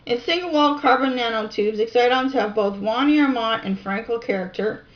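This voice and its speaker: A woman reading reference text aloud in a deliberate, informal way, with casual musing asides, on a home recording. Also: on the high side, lo-fi sound. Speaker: amateur video researcher and narrator.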